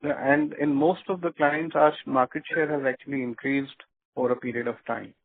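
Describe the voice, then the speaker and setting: A tinny, narrow phone line carries an Indian man's mid-pitched voice. He answers as a company executive on an earnings call, halting often and filling his pauses as he goes. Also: fairly even intonation. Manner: hesitant, halting delivery with frequent filled pauses. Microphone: telephone conference line.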